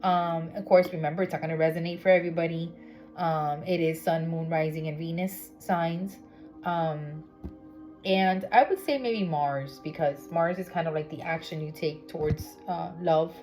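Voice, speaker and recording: Miami woman doing tarot, low voice, lots of "okay?" and ums, music going behind. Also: fairly even intonation, moderate pace, a little mellow. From Miami, United States. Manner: chatty, with many fillers and "okay?" check-ins. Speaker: tarot reader. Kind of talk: casual spiritual reading vlog. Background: background music.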